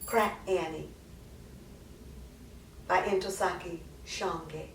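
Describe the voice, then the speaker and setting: A woman's voice: lowish voice, fairly even intonation, very slow, a little hiss or hum. A woman hosting a literary video series, announcing readings and signing off to viewers. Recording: roomy echo, distant microphone.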